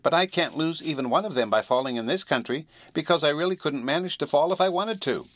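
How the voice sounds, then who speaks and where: Guy doing a little smug kitty for a kids story, high small voice, teasing. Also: animated, fast talker, fairly bright tone, no echo. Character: small, carefree, cheeky female pet kitten.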